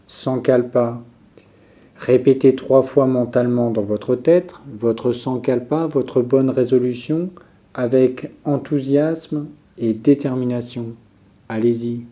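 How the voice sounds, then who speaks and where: A man leading a guided yoga nidra relaxation as a teacher, giving calm, measured instructions with pauses. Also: medium pitch.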